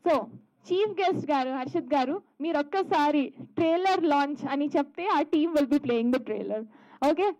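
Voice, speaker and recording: A woman's voice: sits high, expressive, moderate pace, a little mellow, lo-fi sound. A woman with an Indian accent, hosting on stage and effusively calling for applause. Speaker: event host, emcee.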